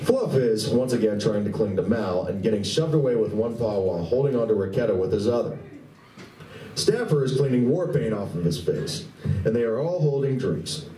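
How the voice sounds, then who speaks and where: A man's voice: fairly low pitch, expressive, moderate pace, warm tone. A man reading scripted narration for a live radio play, recorded in a room. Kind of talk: scripted stage-direction narration. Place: live convention performance room. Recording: echoey room, distant mic, faint noise.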